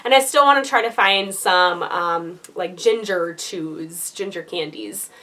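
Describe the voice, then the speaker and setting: A woman's voice: fairly low pitch, unhurried, pushed and bright. A young woman giving a casual vlog update, chatty and full of fillers, her nose a little congested. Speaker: vlogger.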